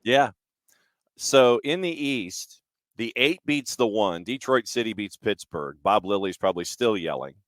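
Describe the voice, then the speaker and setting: Male sports show host, fairly deep, clipped recap delivery, wry asides, very little room sound. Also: animated, unhurried, no room sound, clean studio sound. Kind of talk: casual sports talk, recapping results.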